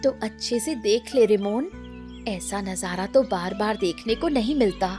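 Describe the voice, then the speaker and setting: Lady doing a gentle village grandma for a cartoon, really slow talker, medium pitch, music behind. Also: natural voice. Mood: gentle, admiring. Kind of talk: Hindi cartoon story dialogue.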